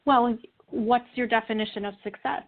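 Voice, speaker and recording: A woman's voice: on the high side, steady tone, moderate pace. A woman being interviewed, explaining her views earnestly in a conversational way. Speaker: interview guest. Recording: narrow phone-like call line.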